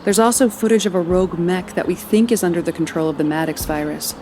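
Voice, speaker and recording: Actress as a low-voiced, caring woman leader. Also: fast, close to an everyday voice. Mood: grave, resolute. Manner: tender, frank, earnest. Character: caring, determined woman leader of scattered immortals. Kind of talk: naturalistic audio drama acting. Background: music.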